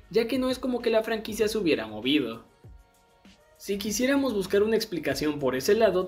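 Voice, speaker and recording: A man narrating a scripted explainer in a conversational, explanatory way. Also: sits high. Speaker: video essay narrator. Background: background music.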